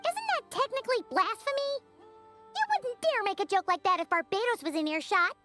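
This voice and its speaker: A woman voicing a tiny, cheeky, childlike floating companion in a video game, squeaky and given to exclaiming. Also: animated, fairly quick, pushed and bright, soft background music, full character voice. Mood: indignant. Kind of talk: video game character acting.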